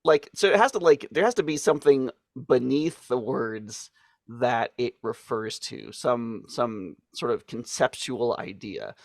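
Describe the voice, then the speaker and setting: A man's voice: mid-range voice, measured pace, gravelly, dry recording, studio quality. A man co-hosting a podcast in casual chat, stumbling over his words with many fillers and restarts and laughing often. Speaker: podcast co-host.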